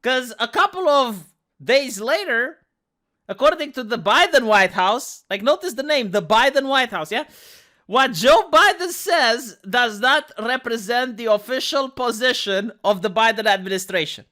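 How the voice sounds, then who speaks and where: Woman, Romanian accent, squeaky and bright, sarcastic commentary host with a lively up-and-down delivery. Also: a little slow. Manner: sarcastic, rambling, many restarts.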